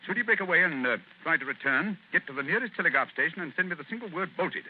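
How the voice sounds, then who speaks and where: A clipped, bossy detective, voice leaps all over, tinny old radio with a bit of hiss. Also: male voice, a little high, expressive, husky. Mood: commanding. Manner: brisk and clipped. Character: brisk, confident male detective, commanding. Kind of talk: 1940s radio acting.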